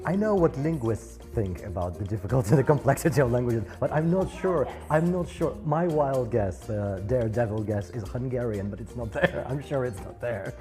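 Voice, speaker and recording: A man's voice: mid-range voice, animated, a little fast, hardly any pauses, a little mellow, soft background music. A man from France who works with students at a university, speaking as a talk show guest in a hesitant, self-interrupting way.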